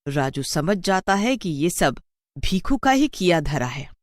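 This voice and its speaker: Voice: mid-range voice, sing-song, measured pace, no room sound, clean studio sound. A narrator telling a scripted cartoon story.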